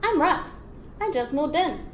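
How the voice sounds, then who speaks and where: A woman's voice: sits high, lively intonation, a little slow, pitched above the performer's own voice. A woman voicing a friendly, eager young male dog in a storybook, with upbeat exclaiming lines. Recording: roomy echo, some background noise.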